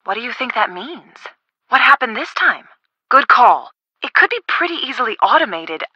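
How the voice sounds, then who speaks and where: Bright, chatty young game sidekick. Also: female voice, on the high side, moderate pace, husky, dry recording, dull audio, full character voice. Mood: chatty, casual. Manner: casual, expressive, friendly. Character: upbeat, chatty, helpful young woman, the detective's friend. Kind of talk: video game voice acting.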